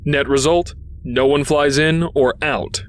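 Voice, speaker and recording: A man's voice: on the high side, fairly even intonation, unhurried, natural voice. A man playing a wisecracking, impatient robot negotiator, sardonic and emphatic. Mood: emphatic, wry.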